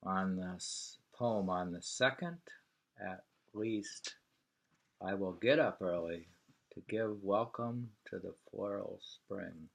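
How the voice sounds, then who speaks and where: Slow, low-pitched musing man. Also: gravelly. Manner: unhurried, musing, pausing to hum and remark. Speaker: reader of a travel book and poems, commenting. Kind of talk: reading aloud with casual conversational asides.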